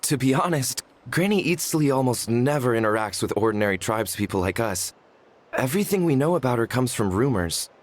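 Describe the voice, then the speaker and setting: A man plays a timid, earnest villager from a video game. He speaks at a medium pitch with an anxious, apologetic manner, over faint background ambience. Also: lively intonation, fairly quick, slight background noise, natural voice. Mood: nervous, relieved. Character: timid, earnest young male villager, nervously deferential. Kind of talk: video game character dialogue.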